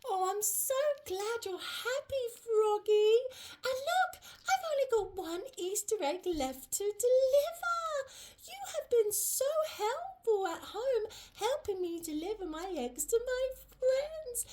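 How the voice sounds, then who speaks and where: Woman voicing a squeaky, giggly, very high-pitched Easter rabbit. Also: sing-song, slow, a little mellow, full character voice. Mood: friendly. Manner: giggly, gushing, chatting to young viewers. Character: excitable, giggly, kindly Easter rabbit delivering eggs. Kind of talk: children's playtime storytelling.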